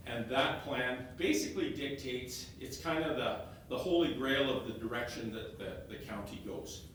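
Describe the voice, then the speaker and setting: Loud man in echoey hall. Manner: halting, repeats words and restarts phrases. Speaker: elected county councillor. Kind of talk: talk presenting slides to a meeting. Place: meeting room, stepping back from a fixed mic. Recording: very echoey room, heard from a distance, faint rumble.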